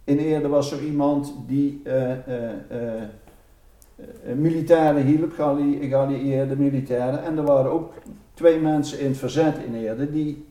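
A man with a soft-edged, mellow and slightly high voice recounts stories casually. He is recorded in a roomy space, a little away from the microphone. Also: steady tone, unhurried, far from the mic. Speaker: interviewee recounting his mother's wartime stories. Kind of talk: casual anecdotal storytelling.

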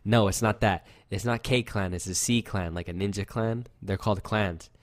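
A young man with a fairly low, youthful-sounding voice, chatting loosely and swearing as he rambles through stories. The sound is dry and close, with no room around him. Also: moderate pace, dry recording. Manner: rambling, loose storytelling with lots of fillers and swearing. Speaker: podcast co-host. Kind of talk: casual chat.

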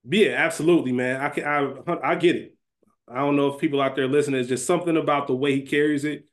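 A man's voice: a little high, fairly even intonation, fast. A man co-hosting a podcast in a casual chat style, emphatic and often repeating his phrases. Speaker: podcast co-host.

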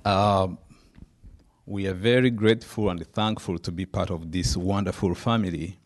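Deep-voiced man from Rwanda. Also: fairly even intonation, moderate pace. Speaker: missionary guest. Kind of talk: church testimony.

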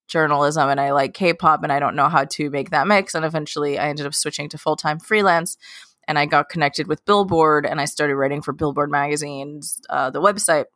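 A woman's voice: low-pitched, fairly even intonation, a little fast, a bit bright, no echo, studio quality. A woman in her late twenties, talking as a guest in a casual interview, chatty and rambling with lots of fillers and restarts. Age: late 20s. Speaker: guest, K-pop journalist.

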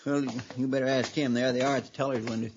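An actor playing an eager, deferential deputy sidekick with a drawling rural Western American accent in a radio western. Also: male voice, medium pitch, steady tone, quick pace, close to an everyday voice. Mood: earnest, alert. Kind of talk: 1950s radio western acting. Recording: muffled, noisy old radio recording.

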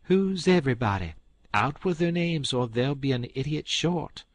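A man voicing a fussy, quick-tempered elderly farm wife from the South, shrill and scolding. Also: animated, darkish tone, breathy edge, no room sound. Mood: indignant.

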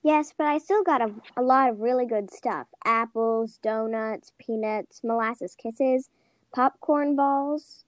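A woman's voice: fairly high pitch, slow pace, no echo. A woman playing a plaintive young schoolgirl in an amateur audio play. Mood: chatty. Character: Susan, plaintive treat-loving little schoolgirl.

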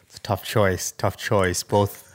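A man's voice: lowish voice, flat delivery, a little slow. A man co-hosting a casual podcast, laid-back and replying briefly, recorded with some faint noise and clicks. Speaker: podcast co-host.

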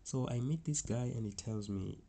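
A man's voice: medium pitch, moderate pace, vocal fry, warm tone. A young man from South Africa with a South African accent, telling a rambling travel story in a casual way. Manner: rambling, restarts often, chuckles. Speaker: travel vlogger.

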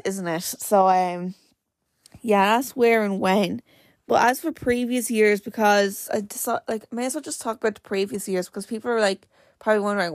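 A young woman hosting her own podcast in casual, rambling chat, her voice a little croaky and cracking. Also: medium pitch, dry recording, clean studio sound. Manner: chatty and rambling, full of "like" fillers. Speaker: podcast host.

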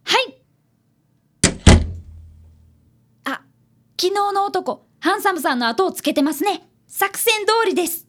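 ラジオドラマで、丁寧な口調の真面目な少年探偵見習いを演じる女性。. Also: female voice, very high voice, lively intonation, moderate pace, fairly bright tone, a little hiss or hum. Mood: polite, eager. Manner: polite, deferential speech. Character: polite, earnest young boy, apprentice detective. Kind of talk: radio drama acting and narration.

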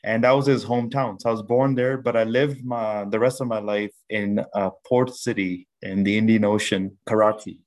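A man from Pakistan in his thirties, a podcast guest telling his life story in a measured way with many restarts. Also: on the low side, steady tone. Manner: measured, with frequent restarts and fillers. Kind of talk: reflective storytelling interview.

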